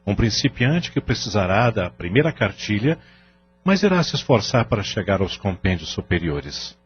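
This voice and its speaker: A man's voice: lowish voice, very animated, normal speed, breathy edge, heavily acted. A man playing an earnest, reassuring middle-aged family father in an audio drama, speaking formally and soothingly. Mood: grateful, earnest. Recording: dull audio with faint hum.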